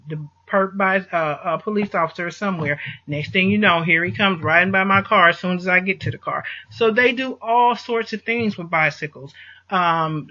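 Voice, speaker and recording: A man's voice: sits high, pushed and bright. A man giving an informal, emphatic explanatory monologue to listeners, repeating his points and often checking in, with some distortion on the voice. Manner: emphatic, repetitive, punctuates points with check-in words. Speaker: host of an online advice video. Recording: dull lo-fi recording, voice distortion.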